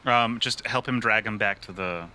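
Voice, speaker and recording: A man playing a tabletop roleplaying game, improvising casually in halting, backtracking phrases. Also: on the low side, sharp tone.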